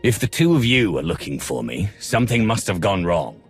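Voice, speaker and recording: Husky, low, grave Sith lord. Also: male voice, sing-song, normal speed, soft background music, full character voice. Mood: grave, wary. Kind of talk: video game cutscene acting.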